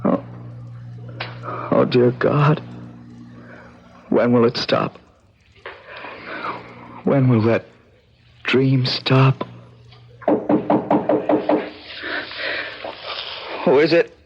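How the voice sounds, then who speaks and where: An actor playing a bitter, haunted young painter and son in a vintage radio drama, with a guarded manner. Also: male voice, medium pitch, drawn-out words, long pauses. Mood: anguished. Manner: curt, guarded. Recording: muffled, faintly hissy old radio recording.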